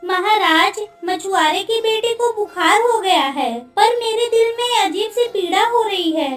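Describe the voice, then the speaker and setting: A woman's voice: very high-pitched, a little slow. A woman voicing an anxious, tender queen who longs for her lost daughter in a cartoon story. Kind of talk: Hindi cartoon story dialogue. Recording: roomy, distant sound with faint music.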